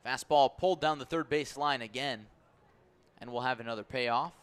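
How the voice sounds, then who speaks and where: A man calling baseball play-by-play on the radio in measured, descriptive, clipped phrases. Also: mid-range voice, smooth. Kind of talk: radio sports commentary.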